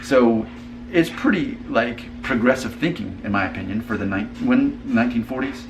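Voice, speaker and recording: A man's voice: medium pitch, moderate pace, background noise. A man hosting a casual family conversation, earnest and thinking aloud with frequent restarts. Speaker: host.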